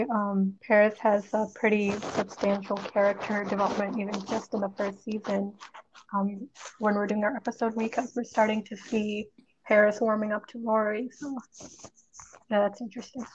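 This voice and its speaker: Through a dull, slightly noisy connection with clicks, a young woman co-hosts a casual podcast. Her voice is medium in pitch and stays quite level. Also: flat delivery, moderate pace, a little mellow. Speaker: podcast co-host. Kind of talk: casual TV recap discussion. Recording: dull lo-fi mic with noise, clicks.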